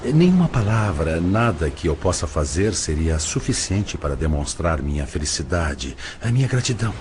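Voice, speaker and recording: A humble, grateful, devout figure in an audio drama. His voice is deep and swings expressively with emotion. There is faint noise underneath. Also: sing-song, normal speed. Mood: moved, grateful. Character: humble, deeply grateful man, moved and devout.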